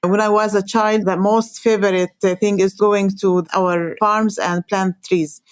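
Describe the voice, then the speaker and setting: A woman from Palestine with a Palestinian Arabic accent, a native Arabic speaker, explaining her work as an interview guest in halting, hesitant phrases with frequent pauses. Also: medium pitch, steady tone, no echo. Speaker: interview guest, environmental organization coordinator.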